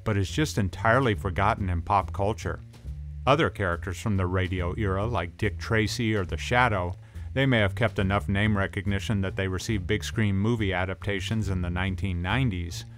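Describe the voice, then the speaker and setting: A middle-aged man narrates a scripted film documentary in a low voice, with music faintly behind him. His wording is colloquial, salted with hardboiled slang. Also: a little fast, a bit bright. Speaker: host, tough guy film expert.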